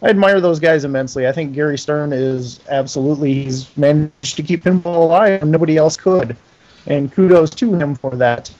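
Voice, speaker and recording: A man's voice: fairly high pitch, brisk, darkish tone, muffled recording. A middle-aged man giving a casual interview as a guest, talking in a folksy, self-deprecating and enthusiastic way. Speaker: interview guest, pinball company owner.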